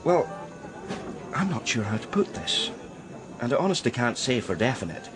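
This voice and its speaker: An actor playing a discreet male art dealer in a radio crime drama, speaking in a deliberate, hesitant, euphemistic way. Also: medium pitch, very animated, music in the background, lightly acted. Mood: guarded, hesitant. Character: male art dealer George, heartbroken, guarded, discreet old friend.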